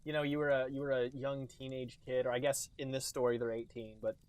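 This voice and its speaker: A man's voice: mid-range voice, level tone, fairly quick, dry recording. A man co-hosting a podcast with casual, joking commentary. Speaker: podcast co-host.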